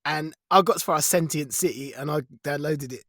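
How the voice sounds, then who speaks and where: A man's voice: on the high side, brisk, a bit bright, no echo, studio quality. A middle-aged man co-hosting a podcast, chatting casually and bantering as he goes through news. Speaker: podcast co-host.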